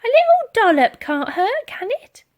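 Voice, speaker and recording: A woman's voice: very high-pitched, sing-song, normal speed, dull audio, voice pushed up. A woman voicing a scatty, kindly, fussing cleaning lady from a storybook in an exclamatory sing-song. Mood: chirpy.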